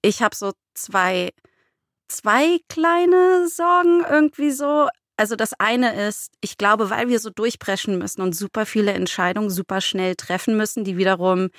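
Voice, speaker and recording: A woman's voice: mid-range voice, no echo. Woman chatting, close and dry. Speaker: podcast co-host and writer. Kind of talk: casual chat. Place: small radio studio. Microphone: close studio microphone.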